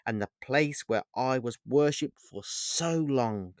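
A man's voice: mid-range voice, lively intonation, takes it slowly, dry recording, studio quality. A man playing a proud, bitter ancient god, emphatic. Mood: proud, imperious. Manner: theatrical, declamatory, emphatic. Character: wild-eyed ancient male god Pan, sardonic, bitter and scornful. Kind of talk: dramatic fantasy storybook narration.

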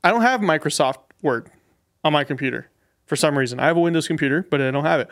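A man's voice: a little high, animated, quick pace, fairly bright tone. Casual, self-deprecating man as podcast guest. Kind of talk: casual chat.

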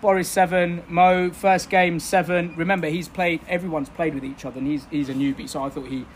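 A man's voice: on the high side, level tone, a little fast, a little hiss or hum. A man chatting casually about his amateur football teammates after a game. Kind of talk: casual post-match chat. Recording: busy crowd chatter behind.

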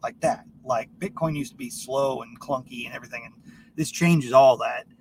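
A man's voice: medium pitch, moderate pace, vocal fry. Man rambling, far from a noisy mic. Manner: informal, swears casually. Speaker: podcast host. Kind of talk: casual rambling solo podcast talk. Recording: noisy, distant microphone.

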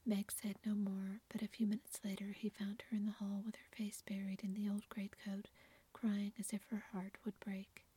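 A woman reads a story aloud in a soft, unvoiced whisper, close to the mic. Her breathy delivery keeps a narrow, even pitch, and the quiet room sounds completely dry. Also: moderate pace, no echo. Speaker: narrator. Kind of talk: whispered ASMR story reading.

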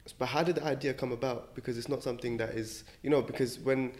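A man hosting an interview podcast, a bit croaky from a cold, talking in a relaxed way with many ums. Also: mid-range voice, fast. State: a bit croaky, under the weather. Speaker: podcast host. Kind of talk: casual interview chat.

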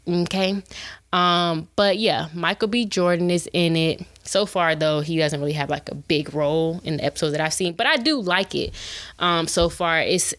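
A young woman in her twenties hosts her show in a chatty, animated way and keeps turning to her listeners as y'all. Her voice sits a little low and sounds close and dry, with no room around it. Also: clean tone, dry recording. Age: 20s. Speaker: podcast host. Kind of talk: casual chat.

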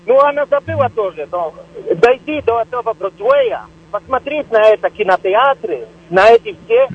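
A male caller with a very high, lively voice and a husky edge, stumbling as he searches for the right words. He comes through dull and lo-fi, like a phone call played over the radio. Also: normal speed.

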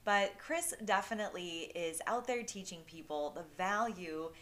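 A woman's voice: on the low side, lively intonation, moderate pace, a bit bright. A woman hosting a podcast, upbeat and encouraging as she introduces her guest and talks with him. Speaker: podcast host. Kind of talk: podcast interview.

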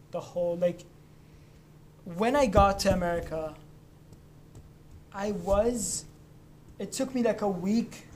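A man's voice: high-pitched, a little mellow, a little hiss or hum. A young man from Lebanon chatting casually, telling stories in a loose, profane way. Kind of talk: casual chat.

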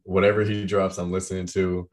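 A young man with a deep voice chatting casually about the music he loves, rambling with lots of fillers. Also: level tone, brisk, darkish tone. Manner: rambling, heavy use of "like".

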